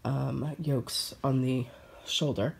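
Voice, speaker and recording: A middle-aged woman chatting casually about her sewing plans, relaxed and pausing with fillers. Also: extremely low pitch, gravelly. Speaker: sewing vlogger.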